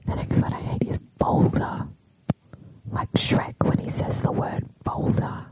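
A woman whispering in an ASMR style, speaking in a deliberate, playfully opinionated way. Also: poor audio.

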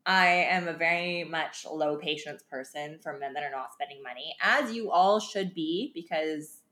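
A young woman with a deeper, husky voice, talking bluntly in a casual podcast chat and laughing often. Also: moderate pace, pushed and bright. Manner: blunt, laughs often, uses mild profanity. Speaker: podcast co-host. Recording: echoey room.

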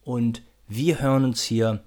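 A male podcast host with a mid-pitched voice speaks off the cuff. He is informal and chatty, feeling his way through a casual announcement with a hesitant, rambling delivery. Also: fairly even intonation, slow pace, darkish tone.

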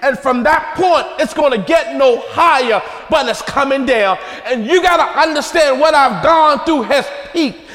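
A man preaching in a very high voice, shouting with fervor and building his phrases in rhythmic repetition. His voice rings out with a long reverb, as if in a large church hall. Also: measured pace, few pauses.

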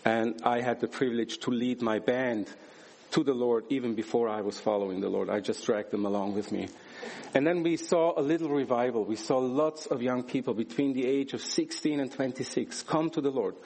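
Swiss-raised guy preaching in a church, earnest, punchy rhetorical questions, mid-pitched voice. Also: fairly even intonation, normal speed, darkish tone, poor audio. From Switzerland. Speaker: guest preacher. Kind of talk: sermon with personal testimony.

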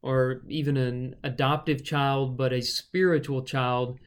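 A man's voice: medium pitch, steady tone, measured pace, clean tone. A man preacher co-hosting a casual Bible study podcast, thinking aloud with fillers and restarts.

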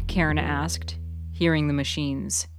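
Woman reading a fiction podcast, low voice, measured, soft plucked string music behind. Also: flat delivery, smooth, dry recording. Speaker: author and narrator of a fiction podcast. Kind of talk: scripted story narration.

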